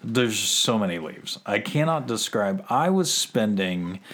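Male co-host, deep-voiced and chatty, laughs a lot. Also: animated, fairly bright tone. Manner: rambling, laughs often, drawn-out fillers. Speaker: podcast co-host. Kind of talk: casual chat.

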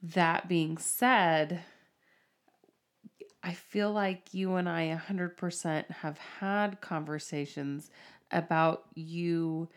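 A woman's voice: on the low side, steady tone, takes it slowly. A woman in her thirties chatting casually as a co-host, thoughtful and a little hesitant. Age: 30s. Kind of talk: casual chat.

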